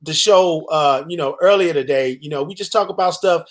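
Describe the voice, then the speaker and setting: A podcast host from Chicago leads a casual interview in a loose, easygoing way, often circling back and restarting his questions. His voice sits at a middling pitch. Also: fairly quick.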